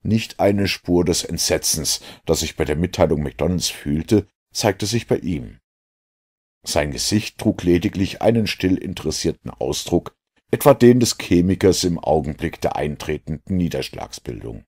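A man reads literary narration in a low voice. It has a husky grain, and his intonation moves widely as he tells the detective story. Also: animated, normal speed, darkish tone. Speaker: audiobook narrator.

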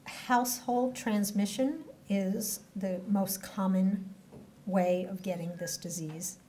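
A woman public health official answering questions at a press conference in a measured, explanatory way, speaking into podium microphones. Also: medium pitch, fairly even intonation, mellow, slight background noise. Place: indoor press room at a podium.

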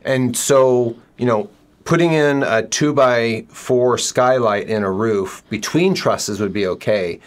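A man's voice: medium pitch, takes it slowly. A middle-aged man hosting an interview, asking a guest conversational questions with plenty of fillers, seated indoors in an office. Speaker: builder and show host.

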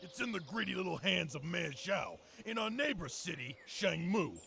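A man voicing an animal army general in a video game, formal and emphatic, with a gravelly voice. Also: high voice, big pitch swings, moderate pace, sharp tone, slight background noise, full character voice. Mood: formal, earnest. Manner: formal, emphatic military briefing.

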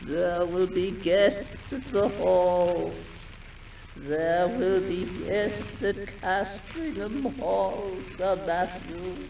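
An actor playing an eerie, doom-laden cursing voice in a 1960s radio horror drama. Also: male voice, sits high, fairly even intonation, extremely slow, creaky, darkish tone, heavily acted. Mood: ominous. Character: eerie doom-laden voice uttering a slow curse. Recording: echoey, distant, noisy old radio recording.

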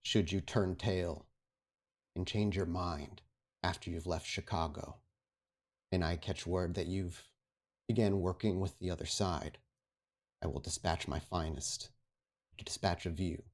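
A man playing a stern, commanding vampire prince in a roleplay game, speaking formally and with measured authority. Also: low voice, fairly even intonation. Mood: stern, menacing. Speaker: game master. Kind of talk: tabletop roleplay acting.

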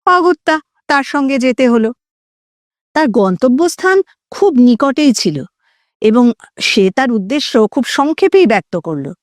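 A woman narrating a literary story in a dramatic, expressive way with deliberate phrasing. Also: high-pitched, dry recording, clean studio sound. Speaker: audio story narrator and host.

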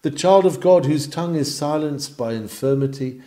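A man's voice: fairly high pitch, normal speed, mellow. A man reading an old Victorian sermon aloud in an earnest, declamatory, rhetorical manner. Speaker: reader of sermons.

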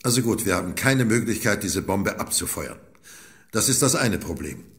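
An actor playing an authoritative older military commander in an audio drama, firm and deliberate. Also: male voice, fairly low pitch, lively intonation, fairly bright tone. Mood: firm, strategic.

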